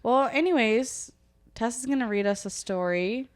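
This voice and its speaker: A woman's voice: a little high, normal speed. A young woman co-hosting a podcast in casual chat, chatty and self-mocking. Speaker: podcast co-host.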